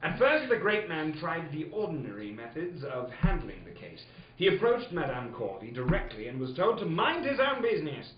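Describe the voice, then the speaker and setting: Genial elderly gentleman doctor , played by a man, theatrical radio drama storyteller, fussy and exasperated, highly animated, slightly high register, muffled room recording. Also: normal speed, distant mic, a crowd in the background. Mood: storytelling. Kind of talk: old-time radio drama acting. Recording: roomy, muffled studio, off the mic.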